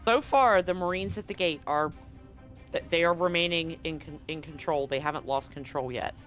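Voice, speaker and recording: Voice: medium pitch, normal speed, a bit bright. Game master narrating over music. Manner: profane, informal narration. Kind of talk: narrating a tabletop roleplaying game live.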